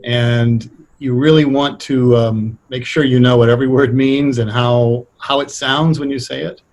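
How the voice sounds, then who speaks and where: A middle-aged or older American man explaining things conversationally as an interview guest, thoughtful and halting as he picks his words. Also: mid-range voice, fairly even intonation, a little mellow. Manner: hesitant, thoughtful, halting delivery. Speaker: interview guest, radio playwright.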